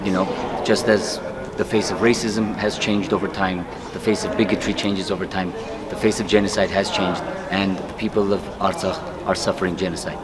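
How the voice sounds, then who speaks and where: A man from the United States making a measured, deliberate political appeal outdoors. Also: deep voice, level tone, over a noisy crowd. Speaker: rally participant. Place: outdoors at a rally.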